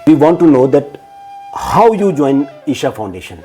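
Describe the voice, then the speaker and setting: A male interviewer who hosts the channel and asks halting questions full of fillers and restarts. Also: fairly high pitch, very animated, dark tone, faint music behind.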